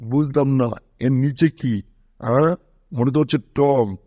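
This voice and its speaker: A man's voice: mid-range voice, fairly even intonation, unhurried, dark tone, dry recording, close to an everyday voice. A man voicing a strong, bullying cartoon bulldog who taunts, brags and scolds. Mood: scornful. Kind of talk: amateur cartoon dub in Bengali. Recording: dull, muffled dry audio.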